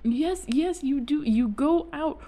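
A woman's voice: high-pitched, mellow. Woman acting a protective, exasperated close friend. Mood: exasperated, impassioned. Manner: halting, repetitive, emphatic. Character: protective, exasperated friend secretly in love, driving someone home. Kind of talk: intimate ASMR audio roleplay acting.

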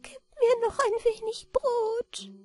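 A woman voicing a tiny old little man from a fairy tale, with a thin, squeaky, plaintive voice. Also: steady tone, slow, dark tone, soft background music. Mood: weak, wailing.

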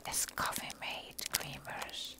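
Slow whispered ASMR with a German accent. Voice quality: breathy, unvoiced whisper. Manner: slow, halting, rambling. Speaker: ASMR creator. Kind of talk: whispered ASMR show and tell.

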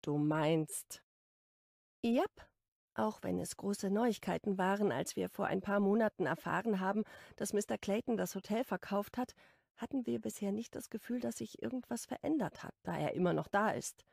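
A woman narrates a romance audiobook in the first person. Her pitch is middling for a woman, with plenty of vocal fry. The recording is dry, with hardly any room sound. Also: moderate pace, a little mellow, no echo.

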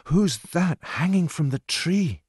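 A man's voice: a little high, animated, slow pace, a little mellow, husky, dry recording, clean studio sound, pitched above the performer's own voice, exaggerated voice. A man voicing an anxious twelve-year-old schoolboy in storybook dialogue, earnest and questioning. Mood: fearful. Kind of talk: acted audiobook dialogue.

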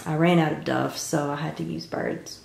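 A congested woman in her thirties with a low, gravelly voice talks through her craft pieces in a loose, rambling way, stopping often on "um". She sounds stuffed up from a cold. The room is echoey, with a faint hiss underneath. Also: moderate pace. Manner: relaxed, rambling, many "um"s. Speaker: resin craft vlogger. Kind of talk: casual show-and-tell narration. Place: home craft table.

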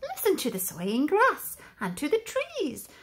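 A small, wise male cricket with a kindly, coaxing manner, voiced high with a lively, sing-song lilt, as a woman reads him aloud. He sounds patient and encouraging, like a gentle little teacher in a picture book. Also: unhurried, heavily acted.

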